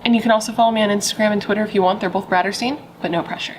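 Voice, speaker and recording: Woman, young adult, pregnant, chatty true crime host, playful asides, casual swearing, mid pitch, faint background hum. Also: steady tone, very fast.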